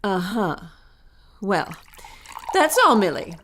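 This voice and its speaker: Slow, swooping, syrupy scheming governess. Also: female voice, mid-range voice, sing-song, a bit bright, slight background noise. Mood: cloying. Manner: cooing, prim, syrupy. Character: scheming governess feigning sweetness toward her charges. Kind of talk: melodramatic audio drama acting.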